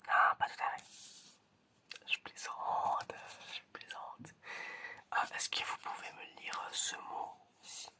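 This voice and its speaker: Male ASMR performer, whispered and unhurried, as a teasing, hesitant examiner repeating phrases to the listener. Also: sharp tone. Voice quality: breathy, unvoiced whisper. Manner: hesitant, repetitive, teasing patter addressed to the listener. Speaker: ASMR creator playing an eye examiner.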